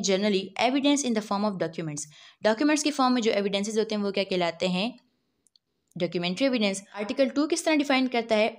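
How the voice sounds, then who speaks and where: A woman with a Pakistani accent giving an explanatory lecture, teaching by posing questions and then answering them. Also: mid-range voice. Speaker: law lecturer. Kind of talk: explanatory lecture, narrated over slides.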